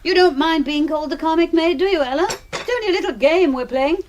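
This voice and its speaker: An actress playing a wry British spinster crime writer in a radio drama, dry, ironic and articulate. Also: female voice, very high-pitched, fast, highs cut off, a little hiss or hum. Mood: wry, playful. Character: wry spinster sister, doctor and crime novelist, self-mocking.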